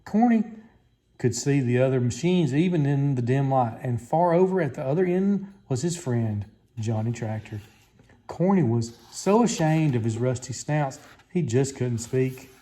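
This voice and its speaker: A man's voice: mid-range voice, animated, measured pace, dark tone. A man reading a children's story aloud as a scripted narration. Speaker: storybook reader.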